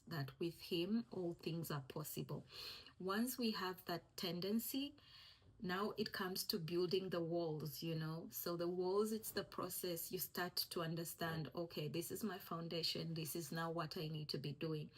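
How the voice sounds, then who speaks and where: A woman's voice: on the low side, breathy edge, rough recording. A young woman from East Africa, a Swahili speaker, giving an encouraging faith-based vlog talk in a conversational way that repeats its key phrases. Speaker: vlogger.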